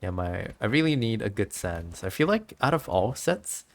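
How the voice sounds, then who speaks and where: A woman's voice: very deep voice, very animated, moderate pace. A woman doing casual, chatty, giggly gameplay commentary as a game streamer. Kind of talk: casual gameplay commentary, reading dialogue aloud.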